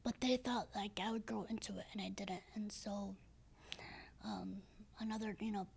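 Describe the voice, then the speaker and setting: A woman in her thirties with a low, raspy, breathy voice, speaking softly and hesitantly as a podcast guest, with many fillers and restarts. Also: fairly even intonation, normal speed, slight background noise. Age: 30s. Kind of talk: casual interview talk.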